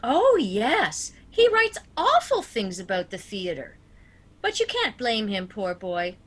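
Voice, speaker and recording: A woman's voice: fairly high pitch, wildly swooping pitch, takes it slowly, full character voice. A woman acting in a radio play as a sweet, fussy old aunt, doting and genteel. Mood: doting, chatty.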